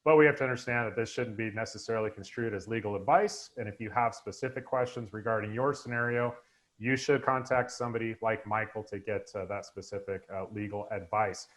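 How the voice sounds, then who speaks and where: A man's voice: on the low side, fairly even intonation, fairly quick. A man in his forties hosting a live webinar over a video call, upbeat and full of fillers. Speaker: host. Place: home room indoors, video call.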